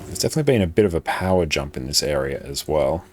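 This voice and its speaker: A young Australian man with a soft, slightly British-sounding accent, chatting casually and reading chat aloud during a live game stream. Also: extremely low pitch, fast talker, a little hiss or hum. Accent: Australian, described as a softer, British-sounding one. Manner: relaxed, friendly, chatty banter. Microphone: desk streaming microphone.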